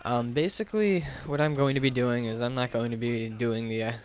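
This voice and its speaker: A man's voice: mid-range voice, a little fast, slight background noise. A man giving a casual, unscripted gaming commentary into a gaming headset, talking in a hesitant, rambling, self-deprecating way. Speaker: gaming YouTuber.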